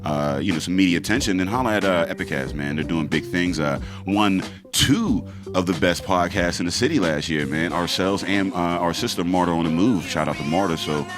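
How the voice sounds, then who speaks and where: A man's voice: sits low, a little fast, hardly any pauses, over music. A man hosting a podcast, running through sponsor plugs in a loose, casual way with lots of fillers and swearing. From Pittsburgh, USA. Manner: laid-back, loose, swearing, many fillers. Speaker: podcast host. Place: podcast studio.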